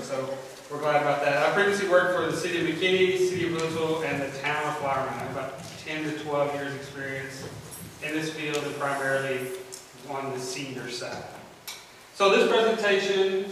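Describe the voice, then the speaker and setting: Male presenter, loud voice, slightly high pitch, heard from afar in an echoey hall. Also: distant mic, slight background noise. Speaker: conference presenter. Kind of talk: presentation to an audience. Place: large convention center meeting room.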